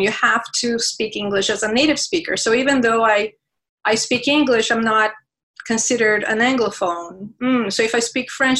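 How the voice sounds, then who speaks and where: A woman's voice: fairly high pitch, bright, forceful tone, rough recording. A woman guest speaking passionately in a conversational interview, often restarting her phrases, with Portuguese as one of her first languages. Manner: passionate, many restarts and fillers.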